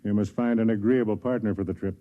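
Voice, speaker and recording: An actor playing a kindly, self-deprecating older man, a senior officer who also narrates, in 1950s radio drama style with a measured, explanatory manner. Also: fairly low pitch, steady tone, natural voice. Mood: grave, explanatory. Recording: dull 1950s radio broadcast, music behind.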